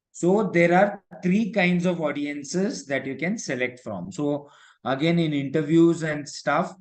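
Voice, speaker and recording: Indian-accented man teaching a class. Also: fairly high pitch. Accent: Indian English. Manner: repetitive check-in tags like "right" and "okay". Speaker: digital marketing instructor. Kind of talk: live online software lesson, explanatory.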